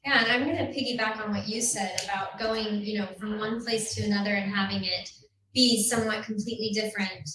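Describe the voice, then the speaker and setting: A woman's voice: medium pitch, fairly even intonation, fairly quick, background noise. A woman from San Antonio, a first-time filmmaker, chatting and laughing easily on a panel. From San Antonio, Texas. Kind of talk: panel discussion. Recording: echoey room, distant mic.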